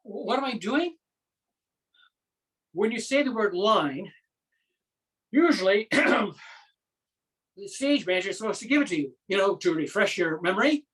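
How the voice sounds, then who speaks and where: A woman's voice: medium pitch, animated, measured pace, pauses often, recorded from a distance, natural voice, rough recording. A woman playing a flustered accountant stranded onstage as a Shakespearean actor, rambling nervously in a theatrical monologue. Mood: embarrassed, rambling. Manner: rambling, nervous, stammering asides.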